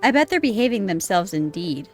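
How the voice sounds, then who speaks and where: Lively, sweary woman game streamer. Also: medium pitch, brisk. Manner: sweary, self-deprecating, musing. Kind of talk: casual gameplay commentary. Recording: game music behind the voice. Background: game music.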